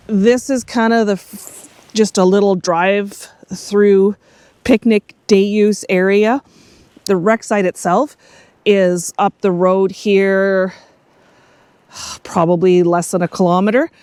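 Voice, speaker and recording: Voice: a little mellow, clear, resonant tone, no room sound. A middle-aged Canadian vlogger narrating casually and candidly, thinking aloud with a wry, rambling manner. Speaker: outdoor travel vlogger. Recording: noisy, vehicle and outdoor rumble.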